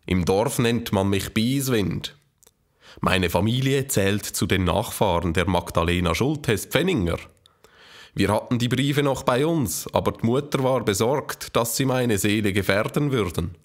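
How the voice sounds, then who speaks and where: A man playing a plain-spoken nineteenth-century village gunsmith in an audio drama, matter-of-fact and unhurried. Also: fairly low pitch, animated. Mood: matter-of-fact reminiscing.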